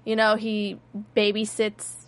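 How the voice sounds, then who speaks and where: A woman's voice: medium pitch, completely flat delivery, takes it slowly, clear, resonant tone. Young woman, casual podcast co-host, rambling, filler-heavy recap delivery. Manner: rambling, many fillers and restarts.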